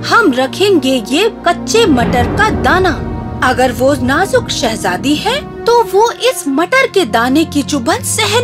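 A very high, swooping voice for a regal queen mother in a cartoon fairy tale. She is exacting and instructs deliberately, with music playing underneath. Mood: scheming. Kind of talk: children's animated fairy tale voice acting.

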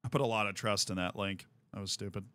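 Animated, bright-voiced American man. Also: lowish voice, quick pace. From United States. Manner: animated, rambling explanations with many "right?". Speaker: streamer. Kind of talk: casual chat while playing.

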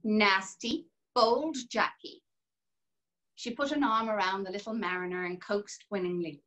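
A woman reading as a brisk young Irish girl. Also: medium pitch, fairly even intonation, fairly bright tone, lightly acted. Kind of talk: dramatic reading of a novel. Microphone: video call.

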